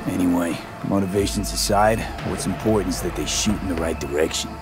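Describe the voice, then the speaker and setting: A hardened street mercenary for a video game. The voice sits low, with a blunt, sardonic, clipped delivery. Music runs clearly behind it. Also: male voice, fairly quick. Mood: wary. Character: blunt, cynical street mercenary, man, young adult. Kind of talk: video game cutscene acting.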